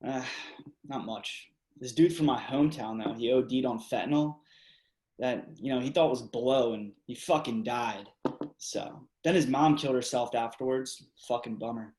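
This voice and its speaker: Guy playing drunk reckless twentysomething in a play reading, crude slangy talk, mid pitch, roomy echo. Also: moderate pace, darkish tone, lightly acted. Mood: drunk, rambling. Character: drunk, reckless twenty-six-year-old party guy. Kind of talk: play reading over video call. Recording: echoey room on video call.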